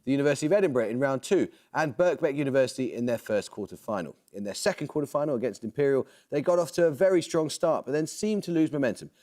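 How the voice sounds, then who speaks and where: A man hosting a television quiz show, reading questions and introductions in a crisp, measured way. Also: medium pitch. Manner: crisp, measured, lively intonation. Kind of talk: scripted quiz presenting. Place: television studio.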